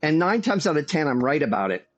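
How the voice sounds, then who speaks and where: A man who is a master hair stylist and salon owner, talking as an interview guest in a measured, storytelling way. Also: on the high side, very animated. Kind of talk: casual interview talk.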